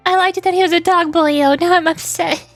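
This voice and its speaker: High voiced girl streamer just chatting, exclaims a lot, interrupts herself, faint music behind. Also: steady tone, a little fast, no room sound. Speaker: VTuber streamer. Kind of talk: casual livestream chat with game voice-acting.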